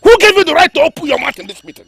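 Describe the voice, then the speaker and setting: An actor playing a domineering, hot-tempered king who shouts indignantly. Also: extremely high pitch, sing-song, rapid-fire, vocal fry, exaggerated voice. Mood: furious. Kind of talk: film drama acting.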